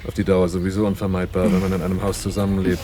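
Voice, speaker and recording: A male actor plays a wary young man hired as a bodyguard in a 1980s radio play. His voice is low and mellow, speaking plainly in dialogue and narration over a faintly noisy recording. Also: completely flat delivery, rapid-fire, close to an everyday voice. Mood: composed.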